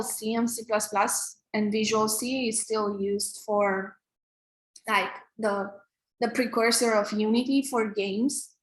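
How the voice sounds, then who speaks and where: A woman in her thirties from Romania with a Romanian accent, teaching a live online coding class in a halting way, full of fillers and restarts. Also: mid-range voice, a bit bright, roomy sound, low-quality recording. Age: 30s. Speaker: coding instructor.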